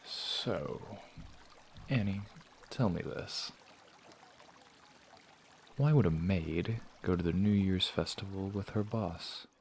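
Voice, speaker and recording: A man voicing a sly traveling performer who teases and probes with smooth talk in an audio drama. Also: deep voice, slow, pauses often, slight background noise, heavily acted. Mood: teasing, prying. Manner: teasing, probing, smooth-talking. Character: sly prying male traveling performer, teasing.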